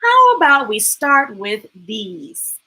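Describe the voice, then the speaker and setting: A woman's voice: on the high side, wildly swooping pitch, slow, bright, forceful tone, full character voice, low-quality recording. A woman voicing a good-humored, cheerful dentist in a storybook, upbeat and reassuring.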